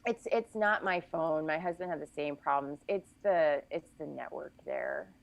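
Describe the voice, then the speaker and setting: A woman speaking in a lower register with a gravelly, creaky edge, recounting events at length as a podcast guest. Her delivery is casual and conversational, and she often corrects herself mid-thought. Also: moderate pace. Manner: long detailed recounting, frequent self-corrections.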